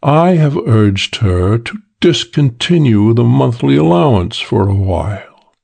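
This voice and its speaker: A man voicing an earnest, formal client in an audiobook, speaking deliberately and emphatically. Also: mid-range voice, animated, gravelly, darkish tone, slightly rough, exaggerated voice. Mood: grave, urgent. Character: earnest businesslike man hiring a detective to find someone.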